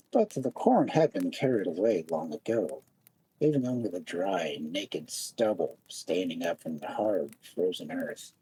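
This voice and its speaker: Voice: medium pitch, mellow, breathy edge, low-quality recording. A storyteller narrating a fairy tale expressively, with dramatic, lingering phrasing.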